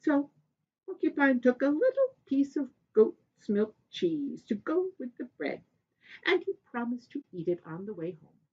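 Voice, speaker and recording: A woman storyteller reading a children's tale expressively, with deliberate pauses. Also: fairly high pitch, sing-song, slightly rough, lo-fi sound.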